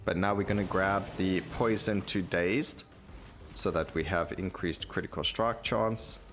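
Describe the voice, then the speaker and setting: A man's voice: sits low, steady tone, unhurried, fairly bright tone. A man giving casual gameplay commentary, rambling and thinking aloud as he plays. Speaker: gaming video host. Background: game music.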